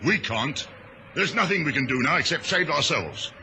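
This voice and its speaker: An actor playing a decisive ship's captain giving clipped orders in an old-time radio drama. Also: male voice, a little high, expressive, normal speed, sharp tone, full character voice. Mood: urgent, commanding. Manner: commanding, clipped. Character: decisive male captain of a towing ship. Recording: noisy vintage radio drama recording.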